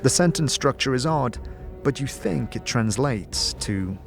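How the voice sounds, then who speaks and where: A man's voice: mid-range voice, expressive, unhurried, vocal fry. A man reading scripted second-person narration for a fantasy audio drama. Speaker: game-style narrator. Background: music.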